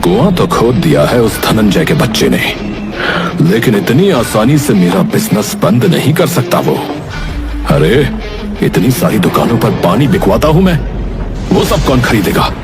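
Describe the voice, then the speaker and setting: A man's voice: mid-range voice, very animated, a little slow. A man voicing a greedy, sneering village chief. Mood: scheming. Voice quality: boastful, sneering. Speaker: voice actor. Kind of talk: cartoon voice acting. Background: background music.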